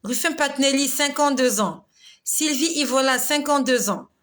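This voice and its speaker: Bright-voiced woman in her forties. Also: high-pitched, normal speed. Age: 40s. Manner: exhorting, laughs often, chatty. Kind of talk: casual live devotional talk reading viewer comments.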